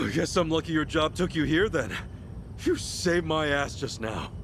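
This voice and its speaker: A man playing a brash, earnest, excitable gangster hero in a video game cutscene, expressive and slangy, moving between mutters and outbursts. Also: fairly high pitch, measured pace, slight background noise. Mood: curious. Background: music.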